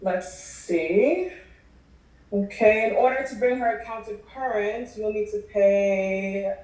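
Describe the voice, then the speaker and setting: A woman's voice: mid-range voice, takes it slowly, a little mellow, natural voice. A woman acting a polite, businesslike care-home billing administrator in a table read. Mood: polite, firm. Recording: echoey room, distant video call mic.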